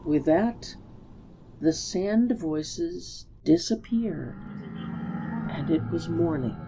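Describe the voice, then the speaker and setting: A woman's voice: sits low, lively intonation, very slow, dull audio, noisy background. A woman storyteller giving a dramatic reading of a story.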